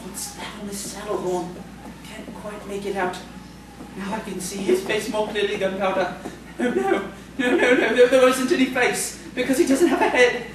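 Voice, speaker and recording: Terrified schoolteacher for radio drama, played by a man: high, breathless, panicky, heard from far off in a noisy space. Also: moderate pace, natural voice. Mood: fearful dread. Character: terrified schoolteacher fleeing on horseback, frantic and nervous. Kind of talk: live radio-play acting. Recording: very echoey, distant, noisy live stage recording.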